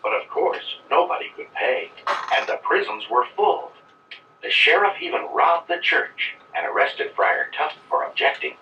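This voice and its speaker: A man's voice: on the high side, very animated, moderate pace, recorded from a distance, a little hiss or hum. A man reading scripted narration for a children's read-along storybook. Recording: roomy, dull playback of old read-along record.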